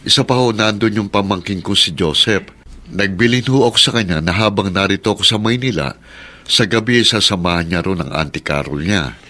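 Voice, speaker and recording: A man's voice: sits low, fairly quick. A Filipino man playing a respectful, reassuring adult son in a radio drama, speaking politely. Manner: polite, calming.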